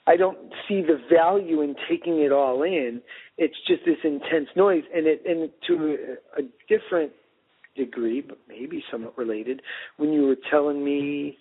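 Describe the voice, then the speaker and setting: A man co-hosting a casual podcast, talking over the phone in a rambling, animated way full of "like" and restarts. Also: mid-range voice, breathy edge. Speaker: podcast co-host. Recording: tinny phone line.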